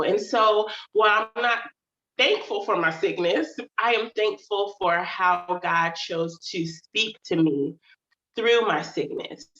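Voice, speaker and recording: A woman's voice: fairly low pitch, a bit bright, echoey room, muffled recording. A woman hosting her own channel, chatting casually to her audience, calling them "y'all," and sounding slightly nasal from a stuffy nose. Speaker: author and channel host. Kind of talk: casual livestream chat.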